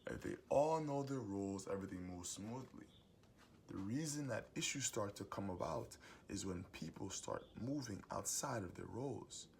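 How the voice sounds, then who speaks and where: A young adult man with a booming voice gives a preachy, emphatic motivational monologue as host of his own series. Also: expressive, normal speed, creaky, a little hiss or hum. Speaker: host giving advice monologue.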